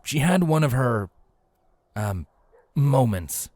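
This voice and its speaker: A man's voice: mid-range voice, very animated, slow, a little mellow, no room sound, voice pushed up. A man voicing a worried, wry and exasperated stallion prince, a protective older brother in a storybook tale. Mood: uneasy.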